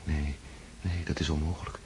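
An actor playing an anxious, lovesick young nobleman in a radio play, speaking in halting, stammering bursts. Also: extremely low pitch, flat delivery, creaky, slight background noise. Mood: dreamy.

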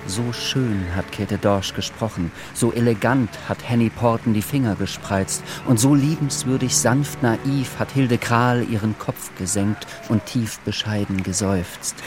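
A man narrating a radio play, reading literary prose in a measured storytelling manner. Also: on the low side, close to an everyday voice. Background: clear music.

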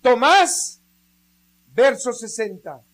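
An unhurried radio preacher. The delivery is emphatic and drawn out, and the voice sits in a middle pitch. The sermon leans on rhetorical questions and direct appeals to the listeners. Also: sing-song, a bit bright.

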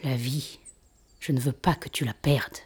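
A woman playing a grave, measured mentor of a resistance in an audio drama. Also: extremely low pitch, steady tone. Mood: gently grave. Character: Orion, solemn mentor master of a resistance. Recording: clear background noise of birdsong.

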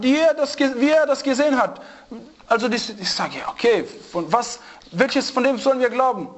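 A man preaching to a small group in an informal sermon, telling an anecdote and mixing in Arabic phrases. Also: very high voice. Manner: storytelling, lively, mixes in Arabic phrases. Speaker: preacher giving a religious lesson. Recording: dull lo-fi recording.

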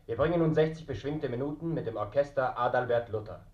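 Dumpf hallender Radiosprecher der Kriegszeit. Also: male voice, medium pitch, quick pace. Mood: formal. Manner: formal, clipped, impersonal. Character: official wartime radio announcer reading air-raid warnings. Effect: old radio set. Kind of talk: 1940s German radio announcement. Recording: dull, echoey old radio sound.

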